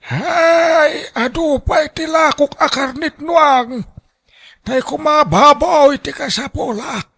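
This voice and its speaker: A performer puts on a gruff older livestock buyer from an Ilocano radio drama. The voice is very high and gravelly, haggling in a slow, folksy Ilocano accent. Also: fairly bright tone, heavily acted.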